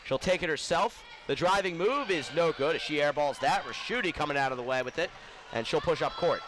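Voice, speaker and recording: A man giving live basketball play-by-play as the announcer, rising to a shout on big plays. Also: fairly high pitch, expressive, a little fast. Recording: noisy gym with court sounds. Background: basketball bounces and gym noise.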